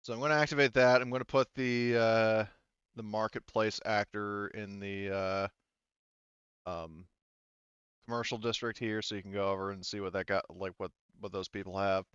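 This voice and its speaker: Man, game master, smooth clean voice, casual and profane, tabletop session, dry recording. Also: on the low side, fairly even intonation, moderate pace, fairly bright tone, no echo, highs cut off. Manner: informal, profane. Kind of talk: casual chat running a tabletop roleplaying game.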